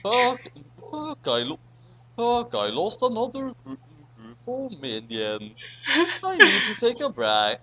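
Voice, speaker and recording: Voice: medium pitch, huge pitch swings, slow, sharp tone, slight background noise. A reader doing a comedic dramatic reading of badly written fan fiction, stumbling and repeating phrases over the garbled text.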